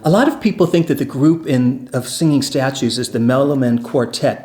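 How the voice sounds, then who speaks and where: A man tells stories casually, like an enthusiastic fan sharing history. His voice sits at a middle pitch and flows conversationally, with faint music playing behind him. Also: darkish tone. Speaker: presenter and storyteller. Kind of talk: casual storytelling about theme park history.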